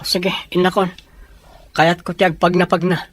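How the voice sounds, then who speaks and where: An actress playing a hesitant person asking for help in a serial audio drama. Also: female voice, lowish voice, flat delivery, unhurried.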